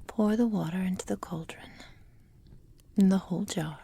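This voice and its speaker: A woman plays an ageless witch who dotes possessively on her apprentice in an ASMR roleplay. Her whispery voice is soft and dark, with a heavy creak underneath. She speaks tenderly and intimately, over faint background noise. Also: medium pitch, close to an everyday voice. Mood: gently encouraging. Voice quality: breathy, whispery. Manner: slow, tender, intimate delivery. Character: doting, possessive immortal witch mentoring her beloved apprentice.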